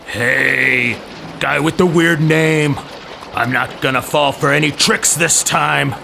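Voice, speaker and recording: Drawling, gravelly town bully. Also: male voice, fairly high pitch, steady tone, slow, background noise, full character voice. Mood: belligerent. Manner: drawling, taunting. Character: brash young town ruffian and bully. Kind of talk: audio drama acting.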